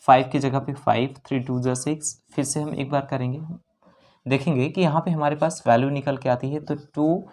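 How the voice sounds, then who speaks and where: A maths teacher with a North Indian accent and a medium-pitched voice explains exam problems one step at a time. Key phrases get repeated, with frequent little check-ins for learners. English numbers and terms are mixed into the explanation. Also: fairly even intonation, brisk, darkish tone.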